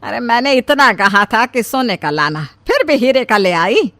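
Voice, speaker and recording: Fussy indecisive shopper, storybook; woman doing her, lively, dry. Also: fairly high pitch, unhurried, dry recording, heavily acted.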